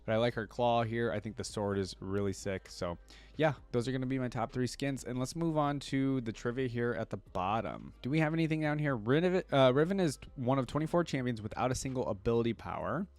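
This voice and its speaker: A man giving casual reaction commentary as an online creator, reading wiki text aloud and thinking out loud about it. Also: mid-range voice, a little fast, no echo. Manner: thinks aloud, interjecting between read passages.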